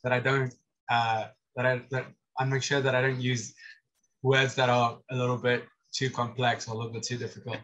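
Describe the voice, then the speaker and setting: A man's voice: medium pitch, flat delivery, brisk, fairly bright tone, rough recording. A man in his thirties, a lecturer teaching vocabulary on a video call through a headset, chatty, with many restarts. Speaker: lecturer and podcast co-host.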